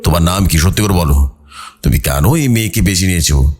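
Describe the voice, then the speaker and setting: A man voicing a calm, fearless village exorcist who speaks solemnly and with firm command. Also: sits low, fairly quick, husky. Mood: stern, commanding. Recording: background music under the voice.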